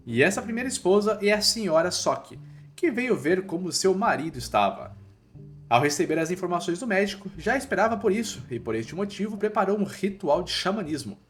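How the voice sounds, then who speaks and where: A Brazilian man narrates a scripted recap in an informal, slangy way. His voice sits a little high and swings with very animated intonation. Faint music plays underneath the narration. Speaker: narrator of manhwa recap videos.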